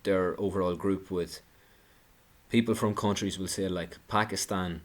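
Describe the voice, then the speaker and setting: Low-voiced man, indignant commentary. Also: steady tone, measured pace. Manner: indignant, sarcastic, with many restarts. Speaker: political commentator. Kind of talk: monologue commentary.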